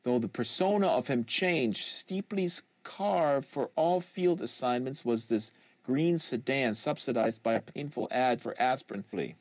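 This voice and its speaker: A man's voice: mid-range voice, unhurried, hardly any pauses, no echo. A man reading literary fiction aloud as a narrator, close to a studio microphone in a small treated room. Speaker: audiobook reader. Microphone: close studio microphone on desk.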